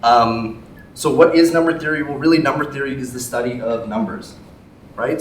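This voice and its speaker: A young man from Canada with a Canadian accent, giving a public maths lecture in a relaxed, friendly way with frequent ums. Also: medium pitch. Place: lecture hall. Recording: echoey hall from far off, faint noise.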